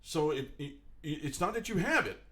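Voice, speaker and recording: Man, podcast co-host, rambling casual chat, lively up-and-down pitch. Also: normal speed. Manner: chatty, rambling, joking.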